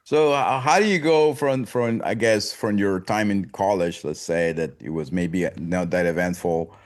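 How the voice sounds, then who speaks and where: A man hosting a podcast interview who asks his guest questions in a halting way, often repeating himself. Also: low voice. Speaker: podcast host.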